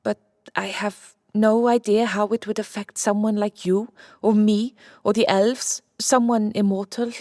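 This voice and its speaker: A woman playing a brisk, clinical scientist wife in an audiobook drama, matter-of-fact and firm. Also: mid-range voice, little pitch movement, darkish tone, no echo, clean studio sound, natural voice. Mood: clinical, analytical. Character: Santa's brisk, clinical scientist wife, immortal, coolly determined.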